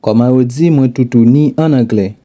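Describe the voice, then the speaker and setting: Male teacher, mid pitch, mellow tone, steady prompt reading, dry close sound. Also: fairly quick, no room sound. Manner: steady, repeats one question pattern. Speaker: language teacher.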